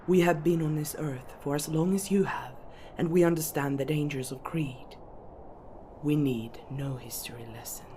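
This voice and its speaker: A woman voicing a dignified, proud elven lady who speaks formally and in measured tones. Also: deep voice, warm tone, slight background noise, lightly acted. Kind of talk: ASMR fantasy roleplay.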